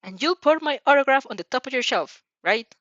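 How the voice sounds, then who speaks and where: A woman's voice: sits high, lively intonation, brisk, fairly bright tone, no echo, lo-fi sound, voice pushed up. A woman voicing an eager, clingy teenage boy with a whiny, declamatory manner in a story reading. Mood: eager, boastful. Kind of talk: animated fan fiction character reading.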